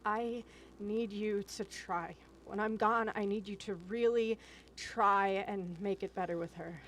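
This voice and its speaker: A woman's voice: medium pitch, steady tone, a little slow, a bit bright, a little hiss or hum, lightly acted. An actress playing a driven, defensive wife and mother in an audio drama, intense and emotional. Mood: weary, pleading.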